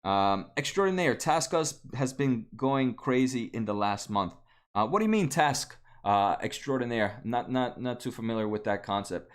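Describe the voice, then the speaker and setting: A man's voice: medium pitch, lively intonation, normal speed, clean tone. Late-20s male streamer, native Spanish speaker, loose rambling delivery with restarts, desk mic at home. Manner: rambling, many fillers and restarts. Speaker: stock market livestream host. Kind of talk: casual livestream chat answering viewers.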